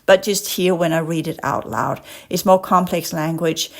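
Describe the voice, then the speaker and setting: A woman's voice: lowish voice, normal speed. A woman with a Danish accent hosts a podcast in English, her second language. She reads an article aloud point by point, then drifts into passionate tangents of her own. Long technical words sometimes trip her up. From Denmark. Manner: passionate, digresses into rants; stumbles over long words. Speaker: podcast host. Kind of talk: reading an article aloud with commentary.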